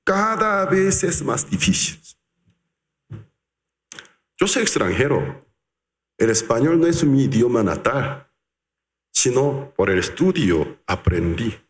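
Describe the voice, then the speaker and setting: Male pastor, unhurried lively sermon delivery, reverberant church hall sound. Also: medium pitch. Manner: animated, acts out quotes, slows for emphasis.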